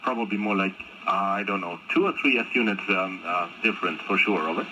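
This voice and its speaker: Staticky shortwave radio, deep voiced guy rambling casually in ham lingo. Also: brisk, bright, forceful tone. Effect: shortwave radio transmission. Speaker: amateur radio operator. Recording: noisy HF radio reception. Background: radio static noise.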